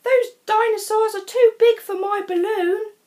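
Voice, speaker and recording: Woman voicing an eager, high-pitched boy. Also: steady tone, a little slow, mellow. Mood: doubtful. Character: brave, eager young boy adventurer.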